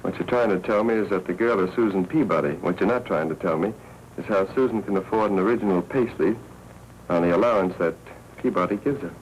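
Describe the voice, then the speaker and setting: An actor playing a wry, shrewd newspaper editor with dry, clipped banter in a 1940s crime film. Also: male voice, sits low, fast, close to an everyday voice. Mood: dry, needling. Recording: noisy 1940s film soundtrack.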